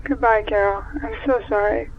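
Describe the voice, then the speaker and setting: A woman's voice: a little high, steady tone, moderate pace, gravelly. An actress playing a fearful, apologetic woman heard through a telephone in an audio drama. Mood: fearful, sorry. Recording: muffled phone line, faint music.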